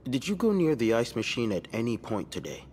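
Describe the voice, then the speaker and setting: A man's voice: mid-range voice, big pitch swings, brisk, a little mellow, close to an everyday voice. An actor voices a male police detective in a narrative game. He is skeptical and methodical, pressing each question pointedly. A slight ambient noise runs behind him. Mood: suspicious, probing. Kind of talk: naturalistic video game acting.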